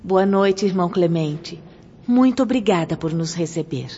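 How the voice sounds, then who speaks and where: A woman's voice: on the low side, lively intonation, normal speed, mellow, lightly acted. A woman playing a kindly young spirit guide in a radio drama, formal, gracious and instructive. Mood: composed, gracious. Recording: dull, faintly noisy audio.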